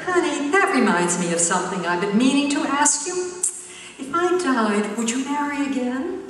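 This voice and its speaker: A woman's voice: high voice, very animated, moderate pace. An older woman playing a sly, needling wife in a scripted stage comedy routine with her husband. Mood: teasing. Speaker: comedy duo performer. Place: theater stage before an audience. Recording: echoey theater hall, distant mic, faint noise.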